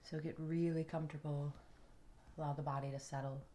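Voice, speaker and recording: A woman leading a guided relaxation meditation in a soothing, unhurried way, with soft, breathy phrase endings. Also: deep voice, little pitch movement. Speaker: yoga nidra meditation guide.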